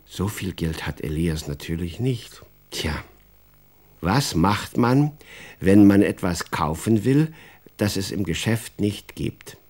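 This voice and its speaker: A man's voice: low voice, lively intonation, takes it slowly, a little mellow. A man narrating a children's radio play as a scripted storyteller.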